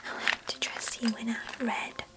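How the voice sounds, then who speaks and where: A woman's voice: normal speed, close to an everyday voice. A woman playing a chatty, doting young cousin in an ASMR roleplay, whispering breathily with playful grandeur. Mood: doting, flattering.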